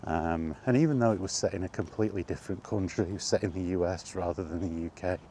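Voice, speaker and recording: A man chatting casually on his own, enthusiastic but halting, with frequent ums and restarts. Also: low-pitched, dark tone, a little hiss or hum. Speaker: vlogger. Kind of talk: casual rambling monologue. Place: outdoors, walking.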